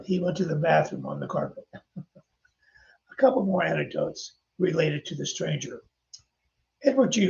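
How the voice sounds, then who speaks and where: An older man tells anecdotes in a chatty podcast style, turning to his listeners now and then. His voice is heavily gravelly and mellow, a little high for a man, and the recording sounds dull and lo-fi. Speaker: podcast host and author. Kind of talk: casual storytelling narration.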